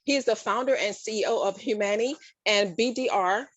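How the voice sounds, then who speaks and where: A woman's voice: mid-range voice, brisk, dry recording, rough recording. An upbeat woman runs an online conference as its emcee. She sounds eager and a bit playful, and her voice is dry and close to the mic. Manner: upbeat, laughing, enthusiastic introductions. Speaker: master of ceremonies.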